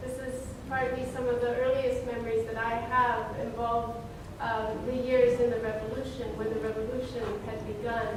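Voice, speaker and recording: A woman's voice: on the high side, level tone, moderate pace, hardly any pauses. Ethiopian-born woman answering from afar in echoey hall. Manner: reflective, hesitant with many ums. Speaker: novelist at a panel. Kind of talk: answering audience questions. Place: conference room. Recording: echoey room, far from mic, noisy.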